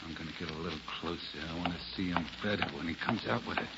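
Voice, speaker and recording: A man's voice: sits low, racing. An actor playing a blunt, suspicious gangster boss with uneducated tough-guy talk, in a 1940s radio crime drama. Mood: suspicious, tense. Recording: muffled, noisy old radio recording.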